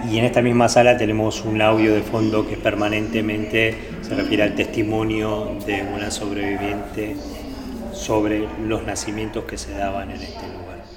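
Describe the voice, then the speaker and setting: A man's voice: fairly low pitch, completely flat delivery, moderate pace, over a noisy crowd. A man with an Argentine accent giving a spontaneous, explanatory guided tour of a site. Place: indoors, large building stairwell while walking. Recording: echoey stairwell and halls, background noise.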